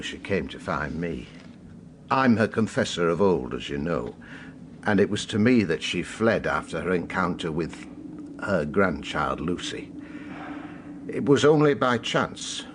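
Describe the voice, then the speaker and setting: A compassionate older male confessor in a radio drama. His low voice is measured and solemn, with formal phrasing. Faint ambience sits behind him. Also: background noise. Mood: solemn, courteous.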